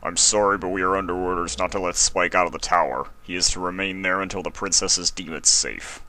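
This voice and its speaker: Man as a low, forceful unicorn guard. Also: steady tone, a little fast. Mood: stern. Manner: formal, clipped. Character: stern, dutiful male unicorn palace guard.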